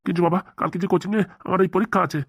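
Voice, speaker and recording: A woman's voice: lowish voice, fairly even intonation, rapid-fire, breathy edge, no echo. A woman voicing a naive, easily alarmed older male professor in a cartoon. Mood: confused. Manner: confused, trusting. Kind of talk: cartoon voice acting.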